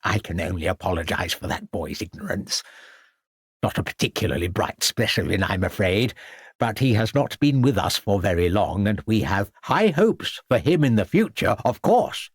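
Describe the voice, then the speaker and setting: A man's voice: fairly low pitch, sing-song, moderate pace, hardly any pauses, a little mellow, no room sound, studio quality. A man voicing a fussy, flustered elderly headmaster who stammers and speaks pompously.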